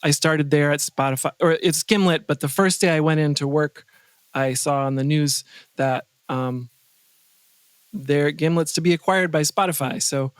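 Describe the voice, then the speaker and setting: A middle-aged man chatting casually as an interview guest, often halting and restarting his sentences mid-thought. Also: on the high side, clear, resonant tone, no room sound. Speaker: podcast guest, composer and sound designer.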